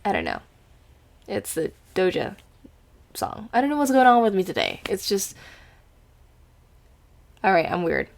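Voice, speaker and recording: A woman's voice: medium pitch, huge pitch swings, measured pace, gravelly, pauses often. Rambling Indonesian-accented young woman host. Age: 20s. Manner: rambling and giggly, many restarts and asides. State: teary after crying. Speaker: podcast host. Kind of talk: casual chat.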